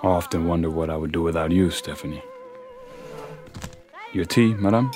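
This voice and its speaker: A man as a deep-voiced, loyal manservant. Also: normal speed, darkish tone, faint music behind, lightly acted. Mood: grave, attentive. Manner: deferential, understated. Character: loyal, grave manservant and right-hand man to a crime boss. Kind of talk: audio drama acting.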